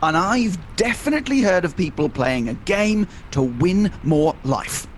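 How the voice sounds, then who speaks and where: A man's voice: sits high, expressive, measured pace, slight background noise. A man acting a mild, sheepish husband and father in a comic radio play, hesitant and bantering. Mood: persuasive. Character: hapless, mild-mannered husband and father, freshly dead.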